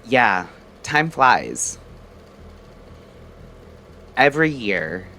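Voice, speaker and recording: A man delivering a scripted, introspective late-night radio monologue in measured, deliberate short phrases. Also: on the low side, pushed and bright. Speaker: narrator and host of a late-night radio style audio show. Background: music.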